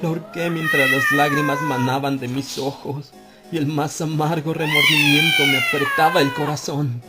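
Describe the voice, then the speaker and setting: A man narrating a dark literary tale as an audiobook, in a grave, measured first-person delivery. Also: fairly high pitch, huge pitch swings. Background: soft music and ambient effects.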